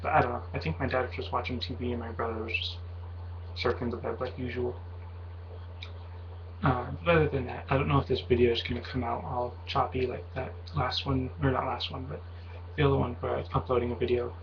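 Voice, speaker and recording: Young man, casual vlog ramble, mid-pitched voice, slightly muffled audio, faint hiss. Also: little pitch movement, a little fast, vocal fry. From Mexican heritage. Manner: rambling, hesitant, many fillers and self-corrections. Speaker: video blogger.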